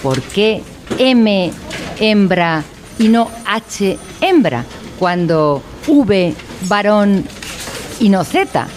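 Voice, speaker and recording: Lively, deadpan instructor. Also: female voice, mid-range voice, drawn-out words. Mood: deadpan, pedantic. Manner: didactic, deadpan, formal. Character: earnest female instructor of an absurd mock educational course. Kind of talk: scripted satirical radio drama narration.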